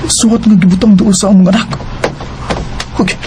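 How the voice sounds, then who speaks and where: An actor with a Cebuano Filipino accent playing a remorseful, unfaithful husband in a radio drama, hesitant and emotional. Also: male voice, high voice, steady tone, moderate pace, close to an everyday voice. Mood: earnest, then worried. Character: remorseful unfaithful husband and father, pleading, struggling for money. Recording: radio drama with music underneath.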